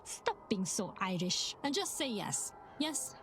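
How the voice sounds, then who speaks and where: An actress playing a grateful, warmly insistent woman in a mystery game, speaking in an unhurried way. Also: mid-range voice, very animated, background noise.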